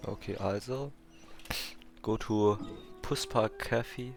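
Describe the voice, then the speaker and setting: A man's voice: fairly low pitch, slow, creaky, faint music behind. A man with a German accent giving casual let's play commentary, muttering asides to himself. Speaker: gamer.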